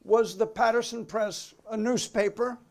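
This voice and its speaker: A man's voice: high voice, fairly even intonation, unhurried. A man playing a methodical committee counsel in a dramatic hearing reading, putting formal, clipped questions to a witness. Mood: businesslike. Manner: clipped, official, persistent questioning.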